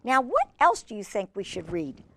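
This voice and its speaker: A woman hosting children's storytime, reading aloud and chatting in an expressive, gushing manner with fond asides. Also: medium pitch, normal speed, creaky. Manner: gushing, expressive, exclaiming over pictures.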